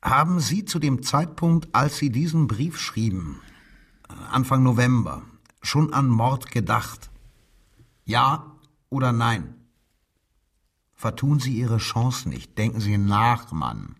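An actor playing a stern, insistent police interrogator who puts clipped, probing questions in a German radio crime drama. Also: male voice, medium pitch, very animated, slow, long pauses, breathy edge, heavily acted. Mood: stern, pressing.

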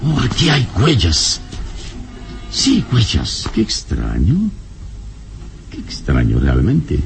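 Calm heroic adventurer, deep voice w lots of melody, theatrical old radio serial, music underneath. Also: male voice, creaky, full character voice. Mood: puzzled. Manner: theatrical, deliberate, musing. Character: heroic adventurer man, calm, brooding and commanding.

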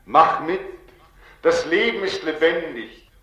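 Echoing, insistent inner voice. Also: male voice, a little high, animated, slow, full character voice. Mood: urgent. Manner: exhorting, declamatory, swinging from hushed to loud. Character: urgent, insistent male inner voice urging life. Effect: heavy echo. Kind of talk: 1940s German radio drama acting. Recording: very echoey 1940s radio recording.

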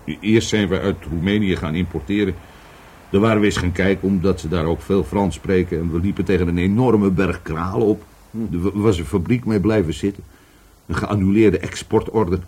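An actor playing an evasive, volatile middle-aged businessman questioned by police in a radio play, husky, stammering and repeating his words. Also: sits low, steady tone, moderate pace, a little mellow. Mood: reminiscing. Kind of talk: Dutch radio play acting.

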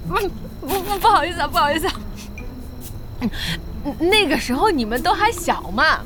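An animated, high-voiced young boss lady. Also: normal speed, background noise. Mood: placating. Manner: lively, conciliatory. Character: young woman shop owner, friendly peacemaker, easily amused. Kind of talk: Chinese audio drama acting.

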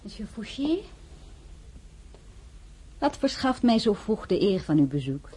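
A woman playing the proud, sharp-tongued heroine of a radio play, narrating and speaking with cool, cutting restraint. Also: medium pitch, sing-song, measured pace, slightly rough. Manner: cool, cutting, sometimes whispered. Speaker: narrator and lead role.

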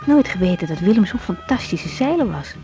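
Young female doctor, warm dark tone, middle pitch, matter-of-fact, caring, tired, natural radio play. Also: sing-song, a little fast, faint music behind. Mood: reflective, weary. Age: young adult. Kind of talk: naturalistic Dutch radio drama acting.

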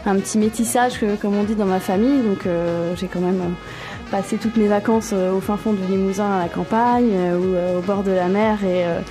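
A woman's voice: medium pitch, fairly even intonation, over music. Young woman from Paris, chatty. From Paris area. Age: 20s. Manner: quick, hesitant, fillers. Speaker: guest. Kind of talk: casual conversation.

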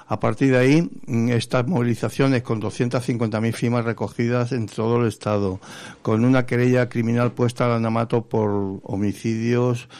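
A man from Spain, an activist guest on a radio interview, giving an earnest explanatory account with halting pauses. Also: medium pitch, steady tone, darkish tone, no room sound. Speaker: interview guest, patients' association vice president and activist. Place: radio studio.

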